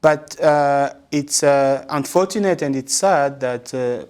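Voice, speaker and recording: A man speaks at an unhurried, middling pitch. He weighs his words and often stops to search for the next one. His answers come slowly and thoughtfully. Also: a little mellow, clean tone. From Congo. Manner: measured and thoughtful, with frequent pauses and fillers. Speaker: guest, religious brother and psychologist. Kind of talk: interview answers.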